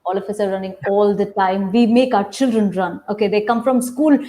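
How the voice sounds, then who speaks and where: A woman's voice: medium pitch, fairly even intonation, fairly quick, mellow. A woman theatre practitioner explaining ideas on a webinar, stressing her points by repeating key phrases.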